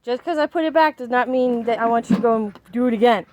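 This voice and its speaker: A woman's voice: on the high side, fast talker, a little mellow. A woman in her thirties chatting casually in a vlog, rambling and emphatic as she works outdoors in her backyard. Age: 30s. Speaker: vlogger.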